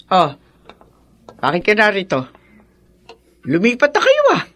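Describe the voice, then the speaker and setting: A woman's voice: medium pitch, wildly swooping pitch, measured pace, fairly bright tone, soft background music. A woman acting a spiteful, scolding older mother in a Filipino radio drama, sarcastic and nagging with a Filipino accent. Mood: scornful.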